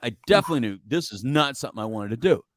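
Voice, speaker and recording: A man's voice: mid-range voice, animated, very fast, dry recording. A man in a dry, close studio sound, lecturing his listeners with blunt, repetitive emphasis. His voice sits in an ordinary middle range, and he drops in teasing asides between the hard truths. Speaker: podcast co-host. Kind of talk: casual advice talk.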